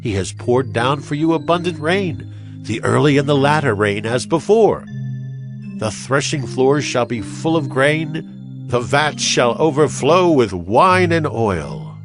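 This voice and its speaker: A man's voice: mid-range voice, lively intonation, unhurried. A man giving a solemn, declamatory narration of scripture in a dramatized style. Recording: music under the voice. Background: music.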